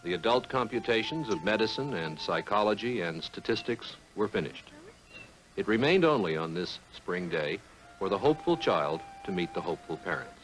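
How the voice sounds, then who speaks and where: Low-voiced man narrating with sweeping intonation. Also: big pitch swings, normal speed, a bit bright, rough recording. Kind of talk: scripted film narration, measured storytelling. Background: music.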